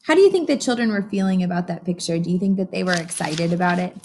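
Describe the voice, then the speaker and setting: A woman reading a picture book aloud to young children as a teacher does, pausing to explain and ask simple, encouraging questions. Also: fairly low pitch, darkish tone, slight background noise.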